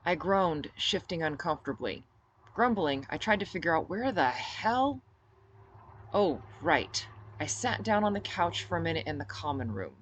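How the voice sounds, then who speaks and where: Woman, low-pitched, author narrating, first-person novel reading, audiobook style. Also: lively intonation, moderate pace, slight background noise, rough recording.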